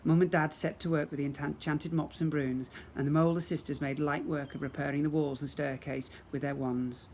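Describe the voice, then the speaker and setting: A man's voice: fairly high pitch, little pitch movement, brisk, few pauses, a little mellow. A man narrating a children's story expressively, as a teacher reading to young pupils. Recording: faint background noise.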